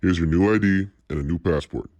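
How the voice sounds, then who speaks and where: A man's voice: low voice, brisk, a little mellow, no room sound, close to an everyday voice. A man playing a cold, menacing crime boss in an audio drama, commanding and threatening. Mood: calm, businesslike. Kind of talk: dramatic audio scenario acting.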